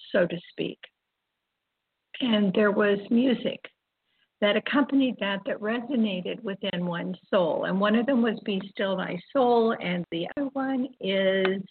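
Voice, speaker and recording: Slow-speaking older woman, phone-like sound. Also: medium pitch, gravelly, husky. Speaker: host of an online spiritual talk radio program. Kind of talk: reflective, informal monologue. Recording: dull, phone-like internet radio audio. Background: music.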